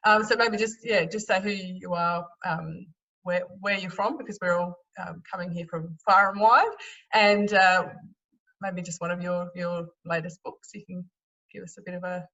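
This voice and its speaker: A woman moderating a panel in a casual, unscripted way, halting often with lots of ums. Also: on the low side, fairly even intonation, fairly bright tone. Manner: hesitant, halting delivery with many ums. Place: remote video call. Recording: dull audio, distant from mic.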